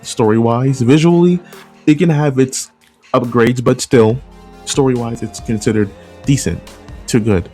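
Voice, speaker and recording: A man's voice: medium pitch, a little slow, warm tone. Man giving casual commentary over music. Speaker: video essay host. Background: background music.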